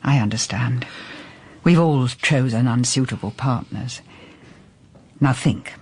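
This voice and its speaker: An actress playing a poised, middle-aged English lady detective in a radio drama, measured and probing with a wry touch. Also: very deep voice, darkish tone, breathy edge, heavily acted. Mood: gentle, insistent.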